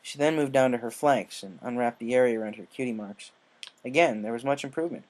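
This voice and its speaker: Man narrating a story, mid pitch. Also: quick pace, low-quality recording. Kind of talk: scripted fan fiction audiobook narration.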